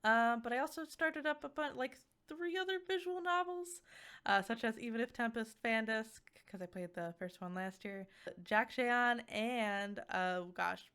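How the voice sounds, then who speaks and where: A woman's voice: fairly high pitch, expressive, a little slow, fairly bright tone, clean tone. A woman giving a casual, unscripted game review in an enthusiastic, giggly, rambling way. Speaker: video game reviewer and streamer.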